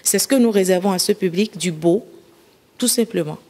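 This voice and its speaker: A woman's voice: mid-range voice, moderate pace, mellow. A woman from Benin, a singer and percussionist, answering questions in a reflective way and repeating herself at times. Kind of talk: interview answers. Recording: music playing behind.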